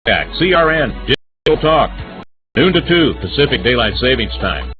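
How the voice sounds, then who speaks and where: A man's voice: mid-range voice, big pitch swings, normal speed, soft background music. A man delivering a scripted radio promo for a talk show in a punchy announcer manner. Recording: narrow phone-like radio sound.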